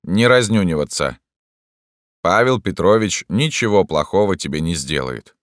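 Male reader as old doctor, creaky, grating, irritable, bossy, slightly raised pitch, close dry sound. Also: lively intonation, normal speed, dry recording. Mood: gruff. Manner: grumbling, authoritative. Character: cranky, bossy old male doctor, gnomish and grey-bearded.

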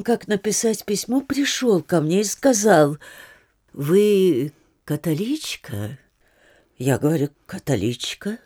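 An actress playing a talkative, deferential landlady in an audio drama, with a halting, rambling way of telling things. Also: mid-range voice, dark tone, husky, no echo, clean studio sound. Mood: wistful, remembering. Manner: halting, rambling, repetitive storytelling. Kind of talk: Russian literary audio drama acting.

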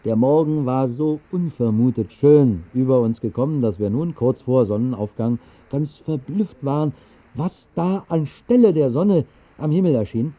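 A man's voice: mid-range voice, normal speed, natural voice. An actor narrating a 1960s radio drama as a whimsical bohemian drinker, telling his tale in a wry, literary way. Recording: tinny band-limited 1960s radio recording.

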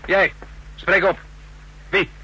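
High, barking, bullying policeman. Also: flat delivery, extremely slow, sharp tone, dull audio, exaggerated voice. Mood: gruff command. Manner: barking, curt, rough. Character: gruff, bullying chief police officer of a fascistic state. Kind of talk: radio play acting. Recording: noisy old radio recording.

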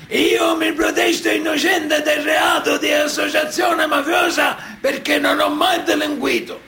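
A self-righteous mobster in the dock, crying out very high and strained. He declaims proudly in a radio play, in an echoey space with a crowd. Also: creaky, heavily acted. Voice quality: strained, shouting. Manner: declamatory, oratorical. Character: proud, self-righteous Sicilian mafioso defendant. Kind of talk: theatrical radio drama courtroom speech. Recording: echoey hall with crowd and hiss.

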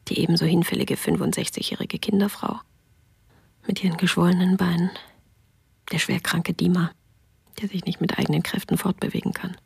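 A woman voicing an exhausted mother of two in a besieged city, reading her diary in a hushed whisper for a radio drama. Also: on the low side, little pitch movement, a little fast, creaky, long pauses, breathy edge, dry recording, heavily acted. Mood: weary whisper.

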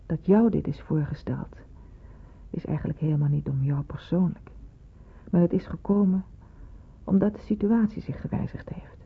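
Low, husky, motherly senior nurse. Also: normal speed, long pauses, heavily acted. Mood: firm, admonishing. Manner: authoritative, admonishing. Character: stern but motherly senior nurse, brisk and persuasive. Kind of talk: Dutch radio play acting. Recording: muffled, phone-like old radio recording.

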